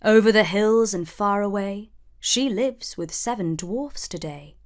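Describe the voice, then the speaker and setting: A woman voicing a solemn magic mirror spirit in a storybook, speaking in stately, archaic, measured rhyme. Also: medium pitch, expressive, lightly acted.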